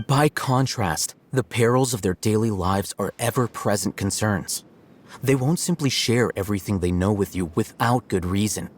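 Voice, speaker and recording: A man's voice: lowish voice, a little hiss or hum, natural voice. A man voicing a cool, aloof scholar. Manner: measured, dry, explanatory. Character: calm, aloof young male scholar. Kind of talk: video game dub. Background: music.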